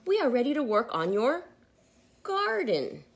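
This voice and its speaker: A woman's voice: a little high, huge pitch swings, unhurried, voice pushed up, exaggerated voice. A woman voicing a silly, eager, scatterbrained male storybook gardener with a naive manner.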